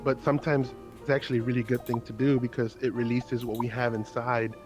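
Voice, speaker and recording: A man hosting a heartfelt conversation, speaking earnestly and haltingly, with many restarts and repeated words. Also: mid-range voice, flat delivery, a little mellow, faint music behind.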